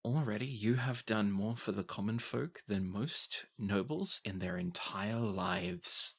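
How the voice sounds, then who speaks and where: A man voicing a hushed, respectful servant of a noble lady in a tabletop game. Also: fairly low pitch, lively intonation, a little slow, gravelly, husky, exaggerated voice. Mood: quiet, earnest.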